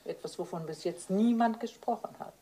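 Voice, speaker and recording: A woman's voice: mid-range voice, brisk, warm tone, lightly acted. A woman playing a jealous, bitter wife, needling and dramatic, in a German crime radio play. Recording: noisy old radio play recording.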